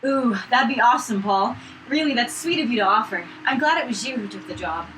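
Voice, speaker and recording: A woman's voice: on the high side, expressive, fairly quick, natural voice. A woman voicing a kindly, refined female flower shop owner, reading for a visual novel let's play. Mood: friendly. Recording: noisy room recording, no proper mic.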